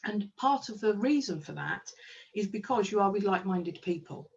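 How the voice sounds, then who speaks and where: A woman's voice: medium pitch, animated, normal speed, distant mic, dull audio. A woman, a kinesiologist and business owner, talking enthusiastically in a casual interview with plenty of ums. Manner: enthusiastic, chatty, with frequent ums.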